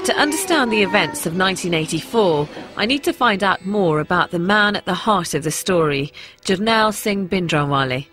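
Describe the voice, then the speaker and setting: A woman's voice: fairly low pitch, animated, normal speed, over music. A woman with an English accent presenting a personal documentary, in narration and to camera. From England.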